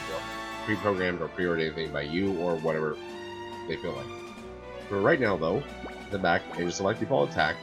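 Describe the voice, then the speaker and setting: Guy w a raspy cold voice explaining a game, deep, loud game music under him. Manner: rambling, lots of filler "like". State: hoarse from a cold. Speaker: gameplay streamer. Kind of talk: casual let's-play commentary.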